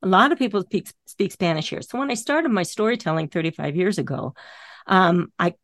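An older woman from the American Midwest who tells stories, narrating softly and slowing down for effect, then chatting in an interview. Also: fairly low pitch, animated, no room sound. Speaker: storyteller. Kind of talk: storytelling and interview chat.

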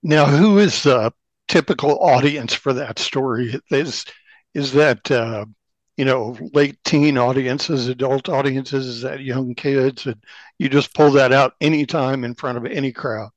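A chatty, playful male host with a middle-pitched voice, recorded close and dry. He keeps the conversation moving with light, easy questions. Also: a little slow, dry recording, highs cut off. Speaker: podcast host. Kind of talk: casual interview chat.

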